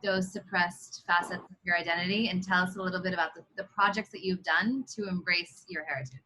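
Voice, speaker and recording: A woman hosting an online talk, polite and measured as she thanks and questions a guest on a video call. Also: fairly low pitch, steady tone, pushed and bright, a little hiss or hum, low-quality recording. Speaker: host.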